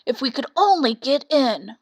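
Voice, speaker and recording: A woman's voice: high voice, very animated, moderate pace, no room sound. A woman voicing an old donkey in a storybook, hearty and courteous, with emphatic old-fashioned speech. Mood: eager.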